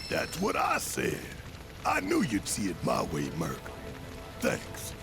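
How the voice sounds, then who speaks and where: A man's voice: lowish voice, wildly swooping pitch, slow, vocal fry, exaggerated voice. A man voicing a theatrical, musing character in a video game cutscene, with music and rain behind. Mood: pleased.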